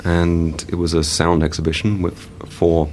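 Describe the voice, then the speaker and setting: Very deep-voiced hesitant man, slight German accent. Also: completely flat delivery, darkish tone, slight background noise. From England. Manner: hesitant, halting, many fillers. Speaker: guest artist. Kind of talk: casual interview talk.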